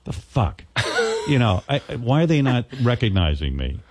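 Lively stammering male interview host. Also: mid-range voice, normal speed, no echo. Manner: animated, stammering restarts, crude jokes. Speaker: talk show host. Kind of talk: casual interview chat.

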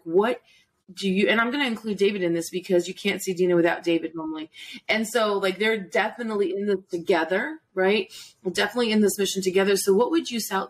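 A woman with a mid-pitched voice hosts a relaxed, chatty interview. She sounds informal and animated, and often rephrases her thought as she goes. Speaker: interviewer, host and instructor. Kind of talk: casual chat interview.

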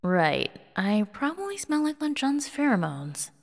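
Lady doing young guy in audio drama, flustered but acting all casual n jokey, highish, bouncy pitch, dry sound. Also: huge pitch swings, a little slow, gravelly, dry recording, full character voice. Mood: breezy, covering nerves. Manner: breezy, jokey, forced casualness. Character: young man, flustered, jokingly feigning carefree nonchalance.

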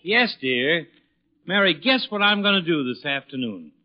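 An American man playing an excitable, easily flustered middle-aged father in a 1940s radio comedy. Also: on the high side, normal speed. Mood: cheerful. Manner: exasperated, emphatic, theatrical. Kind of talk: 1940s radio sitcom acting. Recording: dull 1940s radio broadcast recording.

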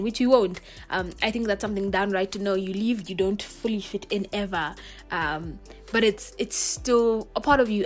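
A young woman with a Kenyan accent, an international student talking earnestly to her viewers in a casual chat full of "like" and "you know". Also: medium pitch. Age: young adult. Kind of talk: casual reflective monologue to camera.

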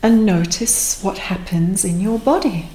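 A woman speaking as a therapist, slowly and reflectively guiding a conversation. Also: medium pitch, expressive, warm tone, roomy sound, low-quality recording. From Pakistan.

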